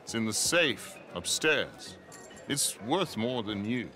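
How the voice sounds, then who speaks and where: A man's voice: lowish voice, expressive, slow pace, pushed and bright, soft background music, heavily acted. A man playing an arrogant, sneering rich gambler. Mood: haughty. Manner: haughty, sneering.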